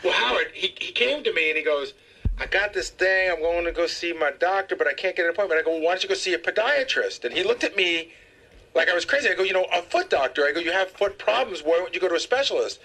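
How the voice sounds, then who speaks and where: Gravelly, raspy fast-talking man. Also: high-pitched, expressive, sharp tone, rough recording. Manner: gravelly retelling of a chat.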